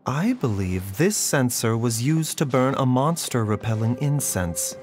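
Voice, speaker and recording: An actor playing a calm, learned male doctor in a video game, speaking in a measured, reasoning, composed way. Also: mid-range voice, faint music behind. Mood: thoughtful.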